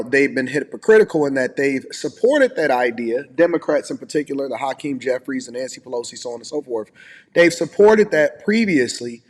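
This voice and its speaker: A man giving casual, off-the-cuff opinion commentary as an online commentator. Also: mid-range voice. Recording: roomy with long reverb.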